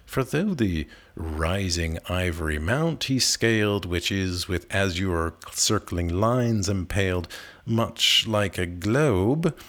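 Male poetry reader, low register, slow measured delivery, literary and dramatic. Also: animated, fairly bright tone. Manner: measured, dramatic phrasing with pauses. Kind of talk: expressive literary recitation of narrative verse.

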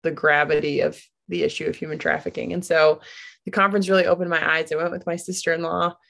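A woman in her late twenties from Michigan, a guest on a podcast, talking earnestly with light laughs and pauses. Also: low-pitched, level tone, vocal fry, a bit bright. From Grand Rapids, USA. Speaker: podcast guest, business founder. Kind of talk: casual interview.

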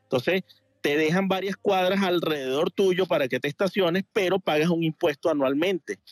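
Hombre de voz aguda llamando desde casa. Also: male voice, sits high, moderate pace, no room sound, studio quality. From Venezuela. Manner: rambling, many fillers and restarts. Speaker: caller. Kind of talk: casual call-in chat. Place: home in Miami Beach.

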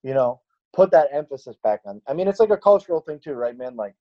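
A man's voice: medium pitch, very animated, fast talker, muffled recording. A man in his late twenties, a fitness trainer, chatting casually on a podcast and rambling with many fillers and restarts. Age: late 20s.

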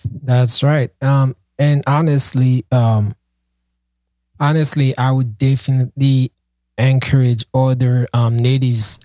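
A man whose native tongue is Haitian Creole chats on a podcast, his voice mellow and relaxed. He talks with earnest passion, stumbling through fillers and restarts. The sound is dry and close. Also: medium pitch, slow pace, no echo. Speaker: podcast co-host and project founder. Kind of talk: casual podcast chat.

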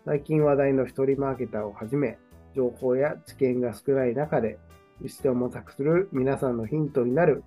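A man around forty speaks as a radio co-host, at a middling pitch. His delivery is conversational with a presenter's touch, and light music plays behind him. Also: mellow. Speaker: radio show co-host, copywriter. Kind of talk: casual chat with scripted show intros. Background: background music.

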